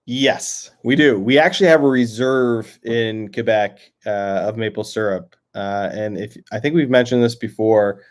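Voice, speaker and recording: A young man with a Canadian accent talks to viewers on a live stream, reading their comments aloud and answering them one by one. His voice sits on the low side, and he rambles a little, with lots of "um"s and restarts. He is outdoors near a lake. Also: measured pace, few pauses. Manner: rambling, filler-heavy, many restarts. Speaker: livestream host. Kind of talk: casual livestream chat reading comments.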